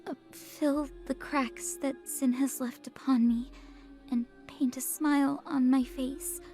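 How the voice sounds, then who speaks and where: A woman's voice: high-pitched, fairly even intonation, takes it slowly, mellow, soft background music. A woman playing a timid, obedient young girl in a visual novel, hesitant, meek and devout. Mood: solemn praying. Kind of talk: video game character acting.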